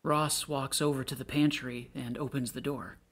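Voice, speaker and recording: A man's voice: medium pitch, fairly even intonation, normal speed, a bit bright. A man reading stage directions as scripted narration for a play.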